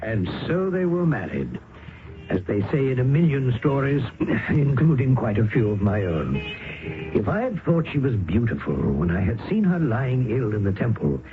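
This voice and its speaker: A man's voice: mid-range voice, sing-song, normal speed, narrow, tinny audio, over music, lightly acted. An actor playing a worldly, dryly ironic male writer who also narrates a radio drama, on an old broadcast recording. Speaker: narrator and character. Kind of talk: 1970s radio drama acting.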